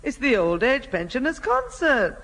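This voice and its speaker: A bright, lively mother, brisk and firm with her child. She admonishes in vintage radio comedy style, with the ring of a large hall around her. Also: high voice, exaggerated voice. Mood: placating, firm. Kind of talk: 1970 radio sitcom acting. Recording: old radio recording in echoey hall.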